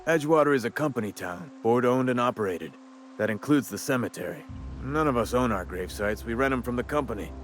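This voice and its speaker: A man's voice: mid-range voice, expressive, a little fast, faint music behind, lightly acted. A man playing a chatty, shrewd cemetery worker in a video game, with a wry, folksy drawl. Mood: sly, businesslike. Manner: colloquial drawl, wry and talkative. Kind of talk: video game dialogue acting.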